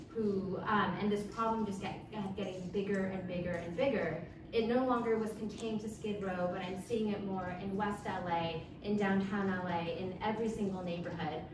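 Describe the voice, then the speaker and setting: A young woman from Los Angeles giving an earnest public talk as a guest speaker, a little nervous at first. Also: medium pitch. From Los Angeles, California. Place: event hall. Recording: echoey hall, from far off, background noise.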